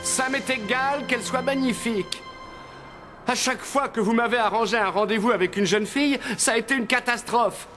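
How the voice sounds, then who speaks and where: Young storybook prince: high, forceful, sulky, reluctant, skeptical cartoon son. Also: male voice, normal speed, faint music behind. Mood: exasperated. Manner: petulant, reluctant. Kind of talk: animated fairy-tale dialogue.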